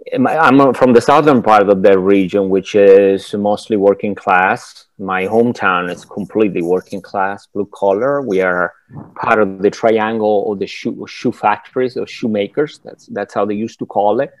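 Director guest, 40s, Italian accent, low, dry close sound, reflective and halting. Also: male voice, steady tone, no room sound, rough recording. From Italy. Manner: thoughtful, halting, searches for words with many restarts. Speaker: film director, masterclass guest. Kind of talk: reflective interview answers. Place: home room, indoors. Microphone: wired earphones with mic on a video call.

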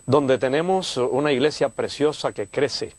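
An older man from Cuba, a church leader, speaking warmly and gratefully in a television studio interview. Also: mid-range voice, unhurried, no echo. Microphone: lapel.

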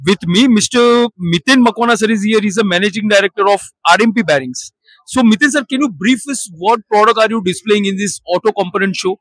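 A man's voice: high voice, brisk, a bit bright, breathy edge, no echo, poor audio. A man, interviewing a company director at an exhibition stall and addressing him formally as sir. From India. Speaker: trade show interviewer. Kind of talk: video interview questions. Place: busy exhibition hall stall.

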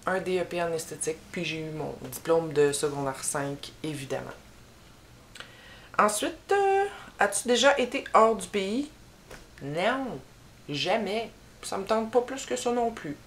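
A young woman with a Québécois French accent, chatting casually to camera as she answers tag questions, rambling and pausing on fillers. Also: fairly low pitch, sing-song, a little hiss or hum. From Quebec. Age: young adult. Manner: rambling and unhurried, with many filler pauses. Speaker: YouTuber. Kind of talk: casual tag video chat. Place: small room with a bookshelf behind her. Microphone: camera in front at head-and-shoulders framing.

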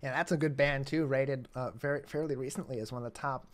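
A man's voice: mid-range voice, fairly even intonation, quick pace. Young man hosting a local TV interview. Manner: clear, announcer-like delivery. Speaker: interview host. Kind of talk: local TV interview by video call. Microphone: webcam or laptop mic on a video call.

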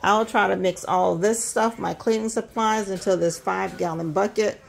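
A middle-aged woman hosting a casual grocery haul vlog at her kitchen counter, talking fast and chatty with lots of ums while filming on a handheld phone. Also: medium pitch, fairly even intonation, vocal fry. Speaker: host of a budget vlog. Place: indoors at a home kitchen counter. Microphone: handheld phone filming items.

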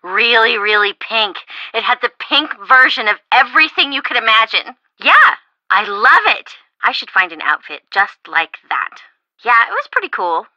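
Chatty young American best friend, playful, game character, high-pitched, dry slightly muffled recording. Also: female voice, moderate pace, no echo, exaggerated voice. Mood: breezy, enthusiastic. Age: young adult. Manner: expressive, teasing, casual. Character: bubbly, chatty young woman, playful best friend who loves shopping. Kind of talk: video game voice acting.

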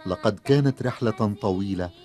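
An actor playing a sympathetic storyteller, narrating a children's cartoon in measured, formal, compassionate speech. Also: male voice, fairly low pitch, mellow, lightly acted. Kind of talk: scripted cartoon narration and dubbing.

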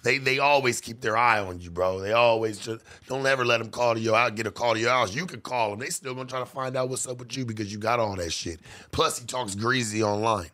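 Podcast panelist, male, bright tense tone, laid-back slang. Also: medium pitch, fast, few pauses. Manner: laid-back slang, says "bro" often. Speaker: podcast guest. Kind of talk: casual chat. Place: podcast studio. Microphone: studio mic on stand.

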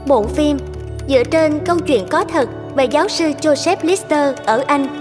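A woman's voice: high-pitched, moderate pace, over music. A woman narrating a scripted true story in a documentary style.

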